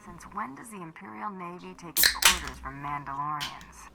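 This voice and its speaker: A woman's voice: on the low side, expressive, normal speed, vocal fry, loud music behind the voice, low-quality recording. A woman playing a tough, cocky bounty hunter in a video game, blunt and dry in delivery. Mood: defiant.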